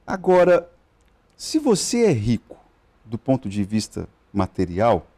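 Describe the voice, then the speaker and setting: A man's voice: medium pitch, huge pitch swings, measured pace, dark tone. A male TV host interviewing a guest in a studio, talking with many fillers and restarts. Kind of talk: live TV interview.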